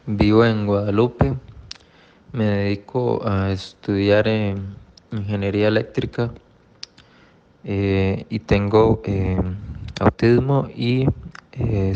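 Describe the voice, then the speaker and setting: A man's voice: on the low side, steady tone, takes it slowly, mellow, clean tone, lo-fi sound. A man, giving formal answers as a student interviewee.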